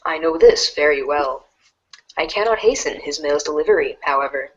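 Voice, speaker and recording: A woman's voice: low-pitched, lively intonation, normal speed, dull audio, a little hiss or hum. A woman playing a wry, haughty teenage girl who speaks with ornate, dry irony in a storybook fan dub. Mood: dry, ironic.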